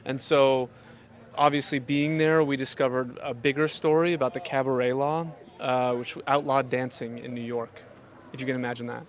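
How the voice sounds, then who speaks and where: A man's voice: medium pitch, flat delivery, normal speed. A man, a film producer, answering interview questions confidently.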